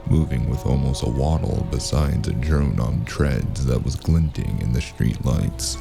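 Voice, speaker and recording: An actor playing a brooding male investigator who narrates a noir audio drama in a measured, gravelly voice. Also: a bass voice, full character voice.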